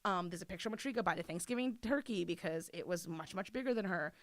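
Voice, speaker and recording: A woman's voice: fairly low pitch, fast, no room sound. A woman in her thirties from Maine, chatting casually as an interview guest and telling stories with plenty of "like" and swearing. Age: 30s. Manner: chatty storyteller, swears freely, lots of "like". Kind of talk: casual chat.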